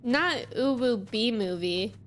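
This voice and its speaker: High-voiced woman chatting on a livestream. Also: expressive, slow, soft background music. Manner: giggly, chatty, playful. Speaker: game streamer and host. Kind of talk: casual livestream chat.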